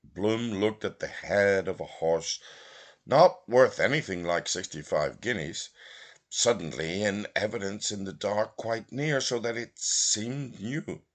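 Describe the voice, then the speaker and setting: A man reads literary prose aloud expressively, in measured phrases with deliberate pauses. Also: fairly low pitch. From Belfast, Northern Ireland. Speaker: audiobook reader, lecturer.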